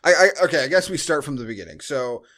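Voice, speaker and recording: A man with a voice a little higher than most hosts a casual podcast. He recaps a film loosely and conversationally, dropping in dry, sarcastic remarks. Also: animated, fast, fairly bright tone. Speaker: podcast host. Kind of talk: casual chat, movie recap.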